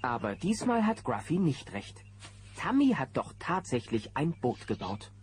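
A man's voice: mid-range voice, very animated, measured pace. A man as the narrator of a German children's audio drama, telling the story from a script. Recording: noisy old cassette transfer.

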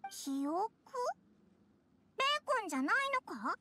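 A woman voicing a tiny, childlike, excitable fairy companion in a video game, blunt and exclamatory. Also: very high voice, slow, slight background noise, exaggerated voice. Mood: puzzled. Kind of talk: Japanese video game acting.

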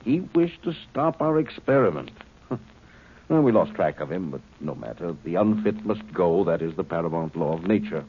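A cold alien overlord, deep and aloof. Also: male voice, lively intonation, normal speed, breathy edge, full character voice. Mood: detached. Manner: detached, superior, precise. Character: cold alien male Core overlord in human body. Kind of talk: 1950s radio drama acting. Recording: dull old radio broadcast with faint hiss.

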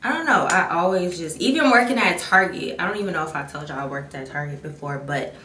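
A woman's voice: fairly low pitch, lively intonation, fast talker. Young female vlogger, candid and rambling, noisy home recording. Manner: candid, rambling, restarts often. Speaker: beauty vlogger. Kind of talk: casual chat to camera. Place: bathroom at home, indoors. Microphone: camera mic at a distance. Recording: echoey bathroom, distant mic, background noise.

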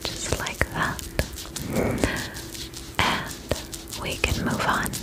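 Slow, whispering woman in ASMR role-play. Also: low-quality recording. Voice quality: unvoiced whisper. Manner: gentle, soothing, reassuring, with short pauses between phrases. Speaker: ASMR performer role-playing a spa attendant at a vampire spa.

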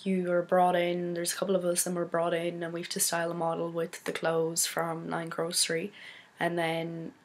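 A young woman from Donegal with an Irish accent, hoarse from a cold, chatting casually to her laptop webcam in a small bedroom and rambling with many fillers. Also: on the low side, completely flat delivery, normal speed. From Donegal, Ireland. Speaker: photographer vlogger. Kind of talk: casual chat to camera.

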